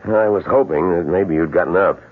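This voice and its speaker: A courteous state police sergeant in a radio play. He has a very low, husky voice and a polite, tentative, businesslike manner, on a dull 1970s radio recording. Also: a little fast. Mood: polite, inquiring. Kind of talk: radio drama acting.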